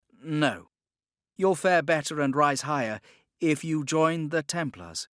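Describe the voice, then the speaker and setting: A man voicing a smug, unruffled gentleman agent of a secret order in an audiobook, with a polished, formal, unhurried manner. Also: fairly high pitch, dry recording, clean studio sound, voice pushed up.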